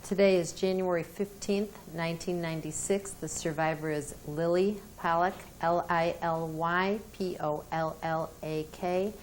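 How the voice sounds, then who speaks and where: Calm woman interviewer, lowish voice. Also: a little hiss or hum, rough recording. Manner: calm, plain, methodical questioning. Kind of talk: oral history interview questions.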